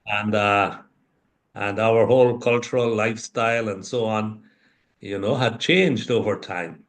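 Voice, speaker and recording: An older man from Guyana, an interview guest speaking in a measured, thoughtful way with many pauses. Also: lowish voice, fairly even intonation. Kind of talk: reflective interview talk.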